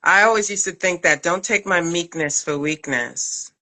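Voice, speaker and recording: Bright, forceful woman interviewer. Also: fairly low pitch, normal speed. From Brooklyn, USA. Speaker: interviewer, acting coach and director. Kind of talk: casual interview chat.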